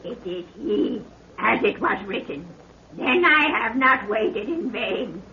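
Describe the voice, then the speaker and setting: An actress playing an ancient, cackling prophetess who speaks in drawn-out archaic riddles in an old radio adventure serial. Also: female voice, mid-range voice, expressive. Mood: cackling, exultant. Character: ancient cackling prophetess of a hidden underground world. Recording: noisy, muffled old radio recording.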